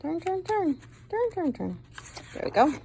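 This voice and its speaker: Woman teacher doing a storybook read-aloud for kids, takes it slow, expressive, chats and asks questions along the way. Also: very high-pitched, creaky, a little hiss or hum. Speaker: teacher reading a picture book aloud. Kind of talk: read-aloud for children with commentary asides.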